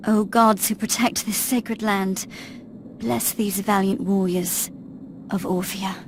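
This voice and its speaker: An actress playing a noble, righteous princess in a game cutscene, earnest, formal and dramatic. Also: female voice, mid-range voice, fairly even intonation, slow. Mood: solemn, pleading. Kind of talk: video game cutscene acting. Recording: background noise and ambience.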